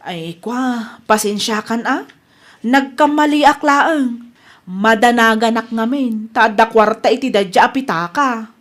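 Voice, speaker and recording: A woman's voice: on the high side, unhurried, lightly acted. A woman with an Ilocano Filipino accent acting a flustered village wife in a radio comedy drama. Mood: sheepish. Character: flustered, blame-casting village wife, later apologetic.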